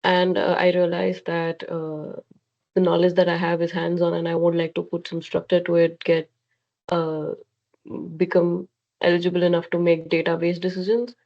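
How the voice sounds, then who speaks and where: A woman's voice: lowish voice, little pitch movement, moderate pace, lo-fi sound. A woman graduate student panelist speaking thoughtfully, with hesitations, on a video call.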